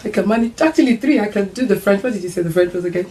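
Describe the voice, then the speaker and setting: A woman's voice: medium pitch, very fast, darkish tone, slight background noise. A middle-aged woman from Nigeria hosting a casual chat show for mums, upbeat and playfully teasing, with frequent ums. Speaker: host of an online mums' group show. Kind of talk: casual chat, interviewing her son.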